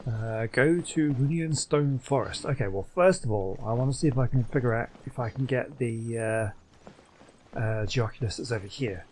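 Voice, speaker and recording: A man's voice: mid-range voice, normal speed, darkish tone. A man hosting a gaming video, giving casual let's play commentary and thinking aloud.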